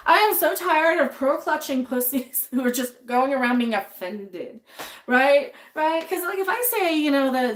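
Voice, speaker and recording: A young adult woman chats on a livestream as she cooks, her voice a touch higher than most. She talks bluntly, swears freely and rambles through restarts, and she sounds a little sniffly. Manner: reads chat names aloud and answers them. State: a bit sniffly. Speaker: livestream host. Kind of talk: casual livestream chat while cooking.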